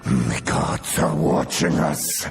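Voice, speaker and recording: A man playing a grim, devout human warrior in a fantasy game, gravelly, with music behind him. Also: rumbling low, slow pace, exaggerated voice.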